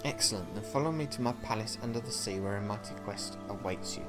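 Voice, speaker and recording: A man's voice: on the low side, fast, loud music behind the voice, close to an everyday voice. Man reading as a grand, courteous whale king. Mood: welcoming, urgent. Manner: declamatory, formal.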